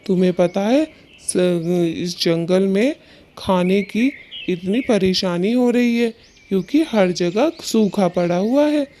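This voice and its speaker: Woman voicing a mellow, earnest male cartoon bird. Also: medium pitch, animated, slow pace. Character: uneasy, earnest, protective male bird, a husband. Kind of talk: children's cartoon story acting.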